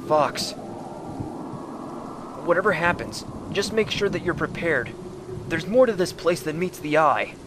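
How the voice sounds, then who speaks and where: A man's voice: a little high, animated, measured pace, long pauses, background noise. A man voicing an anxious, protective young hedgehog explorer in a scripted comic dub. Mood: serious. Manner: stammering when worried.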